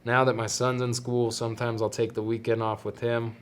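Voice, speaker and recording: A young male podcast host with a stuffy, plugged-up nose, chatting alone to his listeners. His talk is loose and rambling, with easy self-deprecating asides, pitched in a middling range. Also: level tone, brisk, a little hiss or hum. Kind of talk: casual solo podcast talk.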